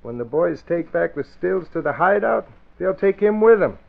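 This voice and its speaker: A menacing gangster boss in a vintage radio crime drama. His voice sits high for a man, and he orders people around in tough slang. The sound is muffled, like an old broadcast. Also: expressive, moderate pace, vocal fry. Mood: cocky. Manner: tough slangy talk, bossy. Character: brutal middle-aged gangster boss, cocky and menacing.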